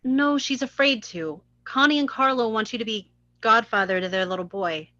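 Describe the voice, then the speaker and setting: Female script reader, crisp bright tone, fairly high pitch, slightly dull lo-fi recording. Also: normal speed, no room sound. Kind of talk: reading stage directions and dialogue in a table read.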